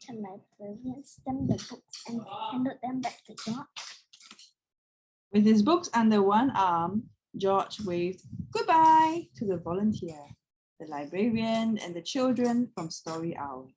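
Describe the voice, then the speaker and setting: A woman reads a children's picture book aloud. Her voice is husky and swings widely in pitch, and she takes an unhurried pace. She is expressive, speaking as if to young listeners. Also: rough recording. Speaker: read-aloud storyteller.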